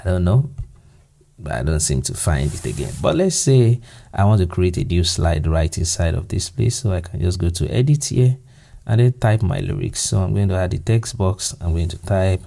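A Nigerian man with a low, gravelly voice talks through a screen-recorded software tutorial. His tone is mellow and dark, and he thinks aloud with easygoing fillers and restarts as he goes. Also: lively intonation, moderate pace. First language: Yoruba. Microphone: voice-over to screen recording.

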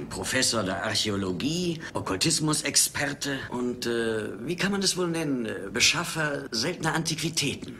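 A courteous intelligence official in a film dub. His formal, probing speech is bright and sharp with lively intonation, over faint noise. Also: mid-range voice, moderate pace. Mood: polite, probing. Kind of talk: German film dubbing.

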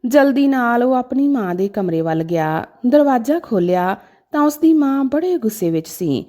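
A woman's voice: a little high, animated, moderate pace, mellow. A woman narrating a scripted, sentimental story in a dramatic storytelling style.

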